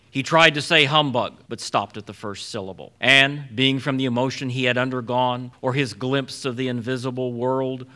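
A man narrating a classic story for a live radio drama in a measured, theatrical storytelling manner. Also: mid-range voice, bright, forceful tone.

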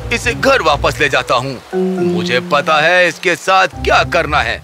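A man's voice: a little high, big pitch swings, music in the background. A man playing a hard-working, scolding merchant in a cartoon fairy tale, in a theatrical, exclamatory way. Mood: angry, suspicious. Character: hard-working salt merchant, scolding his lazy donkey.

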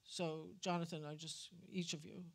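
A woman's voice: low voice, flat delivery, moderate pace, gravelly. An older woman moderating a live panel discussion on an auditorium stage.

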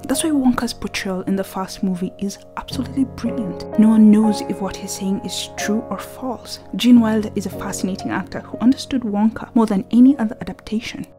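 A woman narrating a scripted video essay in an earnest, reflective way, often softly and breathily. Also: mid-range voice, a little fast, hardly any pauses, music in the background.

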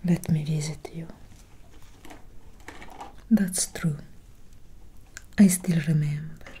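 A woman playing a centuries-old vampire doctor in a soft-spoken ASMR roleplay, speaking in a solemn, measured, breathy whisper. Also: low-pitched, steady tone, a little hiss or hum. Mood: solemn, reassuring. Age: young adult. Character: centuries-old female vampire doctor, grave, gently caring.

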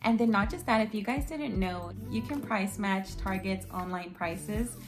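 A young woman in her twenties, a bit hoarse and raspy after losing her voice, chatting casually about books and reading blurbs aloud in her bedroom. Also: medium pitch, normal speed. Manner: chatty, reads book blurbs aloud, frequent "like" and "um". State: slightly hoarse from yelling at a concert. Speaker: book vlogger. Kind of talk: casual book haul chat. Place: bedroom, indoors. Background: background music.

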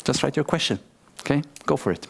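A man's voice: mid-range voice, animated, fast talker, gravelly, a bit bright. A man moderating a conference panel in an upbeat way, with playful asides to the audience. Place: large conference hall.

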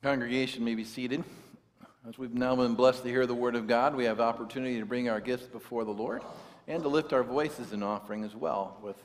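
A man leading worship, making brisk, formal liturgical announcements. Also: medium pitch, steady tone. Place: large church sanctuary. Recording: distant voice, long church reverb.

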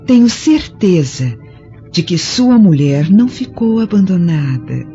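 Woman playing a sly, dark-toned Roman mistress. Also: lowish voice, very animated, measured pace. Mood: seductive, venomous. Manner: clinging, insinuating, sly. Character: venomous, seductive, cynical Roman mistress, woman. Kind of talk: radio drama acting. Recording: dull sound with faint music.

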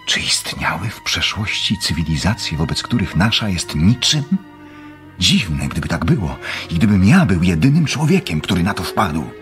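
An actor playing a scholarly, secretive doctor obsessed with power in a radio drama, speaking in a measured, clinical way. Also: male voice, deep voice, sing-song, a bit bright, faint music behind. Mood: absorbed. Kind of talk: Polish radio drama acting.

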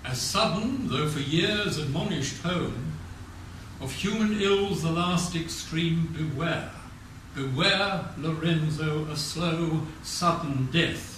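An older man in his seventies with an English accent, formally reciting poetry in a measured, declamatory manner. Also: on the high side, a bit bright. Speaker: reader of poetry at a talk. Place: community meeting room with audience. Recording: very echoey room, distant mic, faint noise.

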